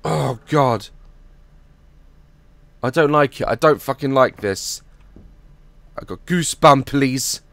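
A hoarse young man on a headset mic, nervously joking and swearing through a horror game as a gaming YouTuber. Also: medium pitch, slow pace, long pauses, fairly bright tone, a little hiss or hum. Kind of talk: horror game let's play commentary.

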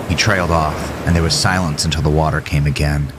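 A man narrating a scripted horror story in a measured, solemn way. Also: extremely low pitch, steady tone, noisy background.

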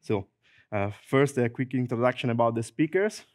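A middle-aged man with an Italian accent presents a technical session into a handheld mic in a large conference hall. He talks in a lively, joking way, filling pauses with "uh". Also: mid-range voice, clean studio sound. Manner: lively, fillers like "uh", jokes and laughs. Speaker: conference speaker. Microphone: handheld microphone.

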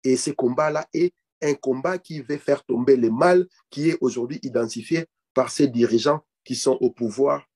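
A man's voice: mid-range voice, warm tone, breathy edge. A man in his forties, a political activist on a video call, arguing in an emphatic, declamatory way and repeating phrases for emphasis. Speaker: activist guest. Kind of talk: impassioned political argument over video call. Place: indoor room.

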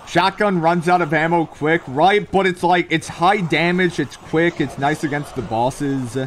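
A man's voice: on the high side, unhurried. A man doing casual, slangy gameplay commentary as a streamer, rambling through playful joking riffs. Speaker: gaming streamer. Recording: gunfire and game noise behind. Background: video game gunfire and ambience.

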